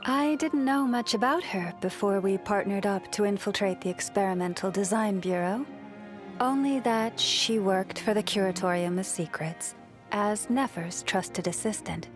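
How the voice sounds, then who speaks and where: An actress voicing a gentle, devout young moon priestess in a video game, speaking softly and reflectively with a breathy fall at the ends of phrases. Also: female voice, mid-range voice, normal speed, over music, close to an everyday voice. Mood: warm, thoughtful. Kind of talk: scripted video game character voice lines.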